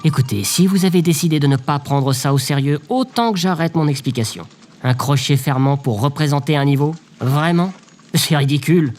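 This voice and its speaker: A man playing a glum, officious government bureaucrat in a comic audio drama, deadpan and pedantic. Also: on the high side, moderate pace, natural voice. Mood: irritated, officious. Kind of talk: audio drama comedy acting. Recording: faint background noise.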